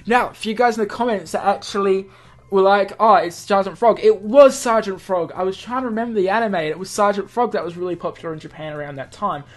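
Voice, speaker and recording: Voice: medium pitch, quick pace. A let's play host who reads a visual novel aloud and comments on it in a rambling, self-correcting way.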